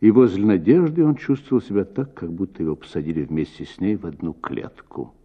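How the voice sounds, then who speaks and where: A man reading a literary story aloud in an expressive, measured, dramatic way with deliberate pauses. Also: deep voice, breathy edge, narrow, tinny audio. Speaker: actor reading a short story.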